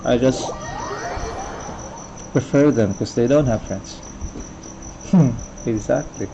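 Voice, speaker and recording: Guy rambling casually on a game stream, mid-pitched voice, pretty noisy behind him. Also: slow, gravelly, mellow, dull audio. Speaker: game livestreamer. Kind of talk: casual livestream chat.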